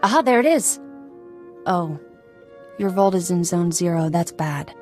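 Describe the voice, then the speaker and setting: A woman's voice: on the low side, lively intonation, a little slow, darkish tone, faint music behind. A woman playing a streetwise, confident smuggler in a video game, teasing and sardonic. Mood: intent, worried. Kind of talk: video game character acting.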